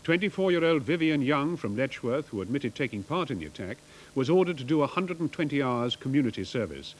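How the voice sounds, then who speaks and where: A British man reads the news in a formal, scripted style. His voice is mid-pitched, and the 1980s broadcast recording carries faint background noise. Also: very animated, a little fast. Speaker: newscaster.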